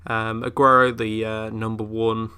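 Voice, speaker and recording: A man's voice: fairly low pitch, little pitch movement, measured pace, pushed and bright, clear, resonant tone. A young man who is ill, chatting casually through game commentary with lots of fillers. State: ill, voice a bit off. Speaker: gaming YouTuber.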